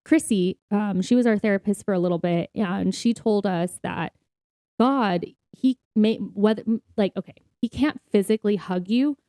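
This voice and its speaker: Mellow-voiced woman, close and dry. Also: medium pitch, moderate pace, no echo. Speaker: podcast co-host. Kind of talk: casual faith-based podcast chat.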